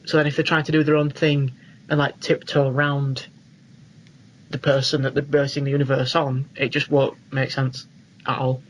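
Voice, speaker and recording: A man's voice: medium pitch, level tone, normal speed, slight background noise, poor audio. A young British man co-hosting a film podcast in a casual, informal and emphatic way. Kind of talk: casual chat.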